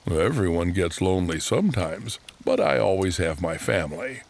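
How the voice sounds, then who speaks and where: A man's voice: low voice, big pitch swings, normal speed, vocal fry, a little mellow, slight background noise, exaggerated voice. Man voicing a goofy, friendly storybook bear. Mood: cheerful, wise. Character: friendly, goofy magical male bear, playful mentor. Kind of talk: children's storybook character voice.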